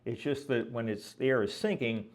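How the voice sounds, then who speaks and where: A man's voice: medium pitch, normal speed. Male educator, mid-range voice, conversational and didactic, explaining step by step with frequent check-ins. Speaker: weather educator and presenter. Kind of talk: explanatory lecture with visual examples.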